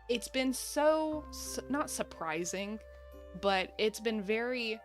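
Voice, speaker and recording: A woman streams a game with casual, chatty commentary. She takes her time and thinks aloud as she plays, in a mid-pitched voice over faint music. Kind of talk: casual live gaming commentary.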